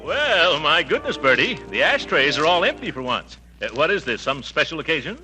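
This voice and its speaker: An actor playing a pompous but kindly middle-aged uncle in 1940s radio comedy, with hesitant hems and hearty chuckles. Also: male voice, on the high side, big pitch swings, moderate pace, music in the background. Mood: puzzled, genial. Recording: noisy, crackly old radio broadcast.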